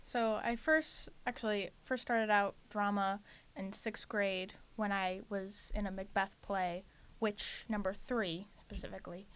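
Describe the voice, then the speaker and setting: Female, late teens, medium pitch. Student actor giving casual, slightly hesitant interview answers. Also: fairly even intonation, measured pace, fairly bright tone, clear, resonant tone.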